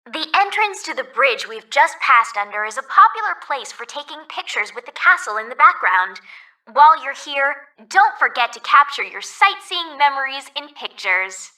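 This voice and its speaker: A woman's voice: high-pitched, very animated, moderate pace, sharp tone, exaggerated voice. A woman playing a polite, cheerful resort ferry guide in a video game, reading scripted tour announcements, recorded slightly distant. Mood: cheerful, informative.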